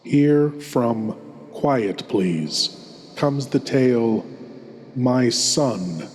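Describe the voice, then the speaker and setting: Slow, reverberant male horror host. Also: mid-range voice, animated, darkish tone, a little hiss or hum. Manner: ominous, savouring, deliberate delivery. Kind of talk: theatrical scripted horror narration. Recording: long cavernous reverb.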